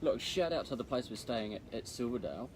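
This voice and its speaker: A man's voice: mid-range voice, lively intonation, brisk, background noise. A man with a New Zealand accent, an accountant co-hosting a casual video chat in a blokey, slangy manner. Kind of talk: casual chat to camera for a live social video.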